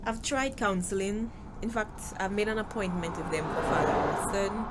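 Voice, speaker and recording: A woman's voice: mid-range voice, normal speed, lightly acted. A woman with a Caribbean accent playing an outspoken, insistent young daughter in a TV drama. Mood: frustrated. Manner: insistent, challenging, questioning. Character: outspoken young woman, anxious daughter pleading for her mother. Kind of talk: TV drama dialogue. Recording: clearly noisy background ambience.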